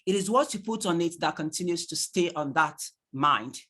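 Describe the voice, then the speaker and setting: A man's voice: a little high, expressive, normal speed, bright, forceful tone. A man with a Nigerian accent giving an online training lecture to parents, asking rhetorical questions and laughing often. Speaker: tutor.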